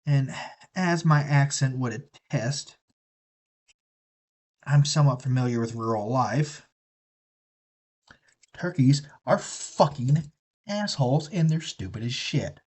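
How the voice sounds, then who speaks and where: A man's voice: mid-range voice, animated, takes it slowly, pauses often, darkish tone, slightly rough. A man chatting casually on a live stream, rambling and profane as he talks. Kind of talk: casual rambling chat while building a kit.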